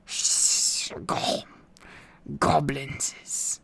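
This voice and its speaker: A man voicing a wretched, obsessive cave creature in a storybook reading, muttering repetitively to itself in broken grammar with a hissing, raspy whisper. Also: drawn-out words, sharp tone. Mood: fearful, urgent. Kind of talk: acted audiobook reading.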